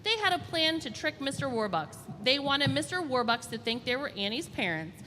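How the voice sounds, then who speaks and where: A woman storyteller reading a children's storybook aloud in an expressive, deliberate way. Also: high-pitched. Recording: reverberant hall, faint background noise.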